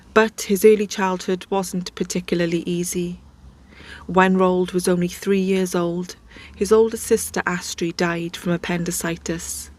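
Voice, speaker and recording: A woman hosting, telling a life story in a casual, chatty way with conversational asides. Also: on the low side. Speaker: host.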